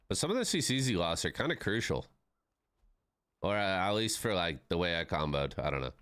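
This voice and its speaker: A man's voice: lowish voice, a bit bright, no echo. A man in his thirties chatting casually with his live-stream viewers, laid-back and swearing freely. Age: 30s.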